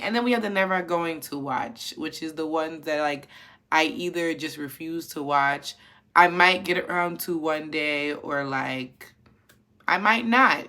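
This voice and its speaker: A woman with a rather deep voice, chatting sassily through casual film commentary. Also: pushed and bright. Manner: sassy and chatty, says honey and okay.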